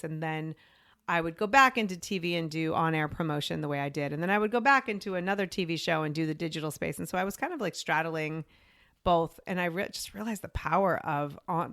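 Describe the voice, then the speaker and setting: A woman in her forties with a lowish, gravelly voice tells her career story to an interviewer. She talks in a chatty, animated way and slips into little voices to quote herself and others. The sound is close and dry. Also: a little fast, no room sound. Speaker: podcast guest.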